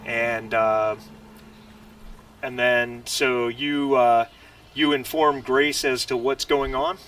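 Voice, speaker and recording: A man's voice: medium pitch, fairly even intonation, takes it slowly, a bit bright. A man acting as game master, talking casually and hesitantly as he explains the rules of a tabletop game over a voice call. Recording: distant mic with faint noise.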